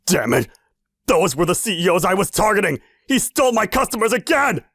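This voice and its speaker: A man's voice: high voice, sing-song, a little fast, a bit bright, no echo, studio quality, exaggerated voice. A man voicing a sneering, jealous, hot-tempered rival salesman in a manga dub. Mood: furious. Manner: sneering, accusatory.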